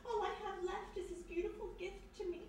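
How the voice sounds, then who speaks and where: A very high, theatrical voice rings through an echoing hall, some way from the mic. a plaintive, clinging society daughter in a radio mystery, dramatic and full of despair. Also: female voice, steady tone, a little fast, a little mellow. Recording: very echoey hall, distant mic, faint noise.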